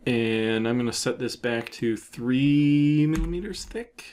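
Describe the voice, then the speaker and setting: A man presenting a software tutorial in a casual, unscripted way, thinking aloud as he explains what he is doing. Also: mid-range voice, measured pace, a little hiss or hum. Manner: informal, thinking aloud with trailing phrases.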